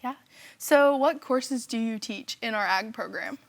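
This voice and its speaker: A woman's voice: on the high side, unhurried, gravelly. A young woman, a college student hosting an interview, asking questions in an upbeat manner. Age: young adult. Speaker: student host.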